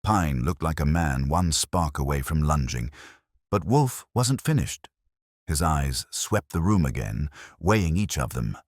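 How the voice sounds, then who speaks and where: Man, very low voice, swooping intonation, narrating detective fiction in a dry close sound. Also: very animated, a little slow, no room sound. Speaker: audiobook narrator. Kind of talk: scripted first-person detective fiction narration.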